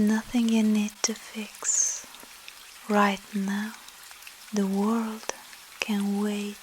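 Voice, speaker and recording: A woman with a Russian accent softly whispers a soothing ASMR sleep hypnosis, in short phrases with long pauses, guiding the listener as a breathy hypnotist. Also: mid-range voice, slight background noise, low-quality recording. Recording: steady rain sound behind voice. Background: rain.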